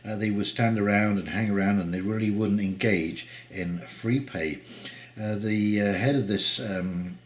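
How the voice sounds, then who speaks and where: A man's voice: fairly low pitch, roomy sound. An older man with a head cold, sounding stuffed up, giving rambling, indignant commentary as a channel host, with many ums and uhs. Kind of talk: casual commentary reading news.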